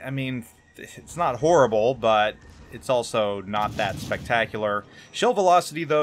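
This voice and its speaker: A man's voice: fairly low pitch, fairly bright tone. A man giving casual gameplay commentary as a game reviewer, rambling and hesitant, often repeating words.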